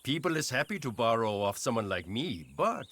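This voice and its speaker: Prim, animated German moneylender. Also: male voice, mid-range voice, moderate pace. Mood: prim, matter-of-fact. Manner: precise, fussy, dry. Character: prim, coldly businesslike male German moneylender. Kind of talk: game cutscene acting.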